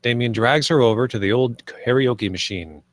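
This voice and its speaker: A man's voice: mid-range voice, normal speed, no room sound. Male script narrator, dry close sound. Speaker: stage directions narrator. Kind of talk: scripted narration at a film table read.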